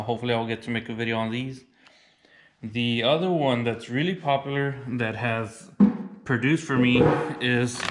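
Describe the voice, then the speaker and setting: A man in his thirties casually showing his fishing gear as a vlogger, relaxed and plainspoken, saying "y'all". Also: mid-range voice, slight background noise. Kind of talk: casual show-and-tell. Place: indoors, then a wooden deck.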